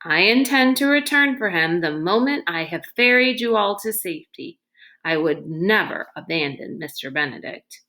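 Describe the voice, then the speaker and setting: Woman reading as a calm, gallant rescuer man. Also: lowish voice. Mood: gentle, resolute. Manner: measured, understated, reassuring. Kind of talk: children's novel read-aloud.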